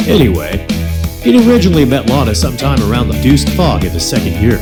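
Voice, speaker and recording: Male narrator, lively swinging pitch, scripted comic storytelling, wry, crude, loud guitar music behind. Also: fast talker. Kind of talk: scripted comic storytelling for adult radio drama.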